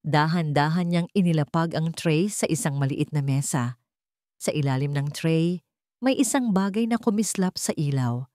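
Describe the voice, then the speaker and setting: A woman's voice: low-pitched, normal speed, mellow, dry recording, clean studio sound. A woman reading a suspenseful story as a scripted, dramatic narration.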